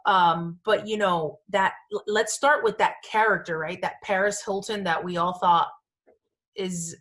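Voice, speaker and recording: Female podcast host, medium pitch, casual and gushing, emphatic slangy delivery for pop culture chat. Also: fairly even intonation, unhurried, fairly bright tone.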